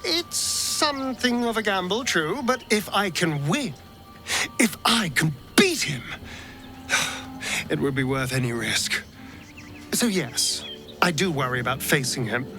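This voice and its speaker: An actor playing a wry, flamboyant vampire elf rogue in a video game, drawling and theatrical, anxious yet scheming, with music behind him. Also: male voice, high voice, animated, slow pace, long pauses, pushed and bright, heavily acted. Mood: scheming resolve. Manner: theatrical, drawling, hesitant asides. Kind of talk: video game character acting.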